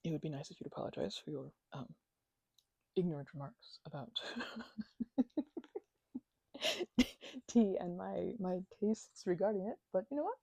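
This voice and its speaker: Casting: actress as female roommate with a migraine, ASMR audio drama. Husky, dry close sound, chatty, rambling, playfully indignant. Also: fairly low pitch, animated, a little fast, warm tone, no echo, full character voice. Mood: mock gracious. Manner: rambling, hesitant, teasing, mock-offended. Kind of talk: intimate ASMR roleplay, improvised-sounding conversation.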